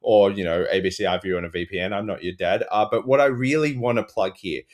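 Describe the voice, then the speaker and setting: A man's voice: lowish voice, fast. An Australian man in casual comedic chat as a podcast guest, riffing in a dry, rambling way.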